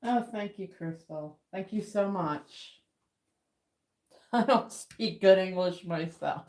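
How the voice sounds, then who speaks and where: A woman's voice: lowish voice, slow, a little mellow. A woman with a nasal voice hosting a casual live art stream, chatting to viewers in an informal, rambling, self-deprecating way. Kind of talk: casual livestream chat with viewers. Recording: echoey, roomy sound.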